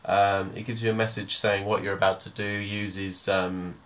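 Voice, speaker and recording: A man giving a hands-on music software tutorial, explaining step by step in an informal, hesitant way. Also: on the low side, level tone, moderate pace.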